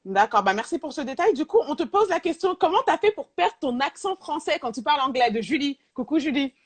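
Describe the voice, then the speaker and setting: A woman from France hosting a casual live interview, asking quick, eager questions. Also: sits high, lively intonation. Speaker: interview host.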